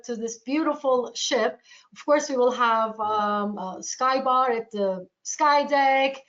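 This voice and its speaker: A woman's voice: on the high side, muffled recording. A woman from Turkey with a Turkish accent, giving an informal, enthusiastic travel sales presentation over a webinar.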